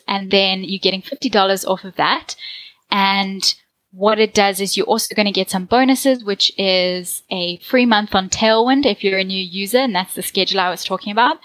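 A woman from South Africa with a clear South African accent speaks as a podcast guest. Her voice sits at a middle pitch and she talks in an upbeat way, laughing easily. The sound is dry and close, with no room around it. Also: a bit bright, dry recording, low-quality recording. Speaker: podcast guest, course creator. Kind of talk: podcast interview.

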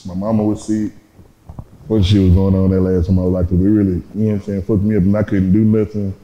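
A man's voice: flat delivery, fast, dark tone, dull audio. A man, a rapper talking casually about his life in a laid-back, slangy way. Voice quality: deep voice. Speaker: rapper guest. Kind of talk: casual interview. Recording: echoey room.

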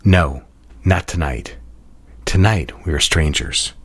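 An actor playing a controlling, coolly seductive lover in a period melodrama, terse and insinuating. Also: male voice, very deep voice, takes it slowly, breathy edge, heavily acted. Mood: cool, commanding.

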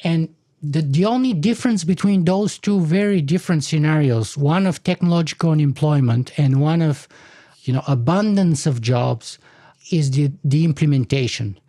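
A man's voice: fairly high pitch, moderate pace, dark tone, dry recording, studio quality. A man, making an argument in a conversational podcast discussion in a lecturing way, often restarting his sentences. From Bulgaria. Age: 40s.

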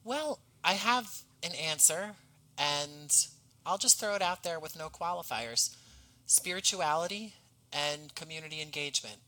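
A man speaking as a podcast guest in a thoughtful conversational interview, articulate and earnest, with measured phrasing. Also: a little high, pushed and bright, rough recording. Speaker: podcast guest, audio drama writer.

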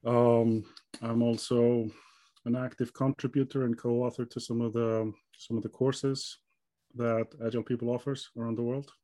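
A man's voice: mid-range voice, level tone, normal speed, warm tone, no room sound. A man from Iceland in his thirties, answering interview questions in a hesitant way, with many ums and restarts. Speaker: guest.

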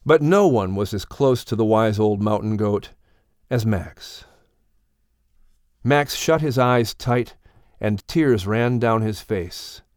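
A man narrating an audiobook story in a solemn, gentle storytelling manner. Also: lowish voice, expressive, slow pace, smooth, dry recording, studio quality.

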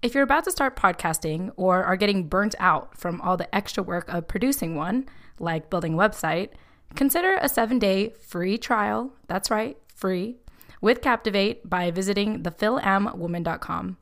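A woman's voice: medium pitch, lively intonation, moderate pace, a bit bright. Upbeat podcast host lady, chatty, mid voice. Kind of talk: podcast interview and host-read ad.

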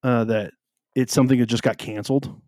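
A man with a deep, carrying voice co-hosting a casual podcast about the Bible, explaining at an easy pace with plenty of ums. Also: steady tone, fairly quick, a little mellow, dry recording, clean studio sound. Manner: easygoing, explains with many ums. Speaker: podcast co-host.

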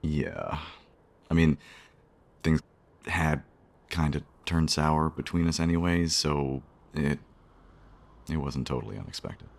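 A man's voice: very deep voice, animated, creaky, exaggerated voice. An actor playing a shy, self-conscious man in a romantic radio play, speaking hesitantly. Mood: subdued, sheepish. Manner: hesitant, halting, with sheepish chuckles. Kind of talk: naturalistic radio play dialogue.

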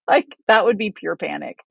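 A woman's voice: mid-range voice, vocal fry, slightly rough, no echo, muffled recording. Chatty woman rambling in a dry room. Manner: self-deprecating, rambling with restarts. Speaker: podcast co-host. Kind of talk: casual chat.

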